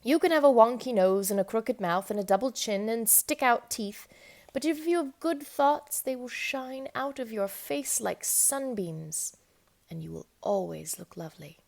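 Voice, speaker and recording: A woman reading a children's book aloud in an expressive, emphatic storytelling manner. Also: a little high, unhurried, vocal fry. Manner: animated, emphatic storytelling with dramatic stresses. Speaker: storybook reader.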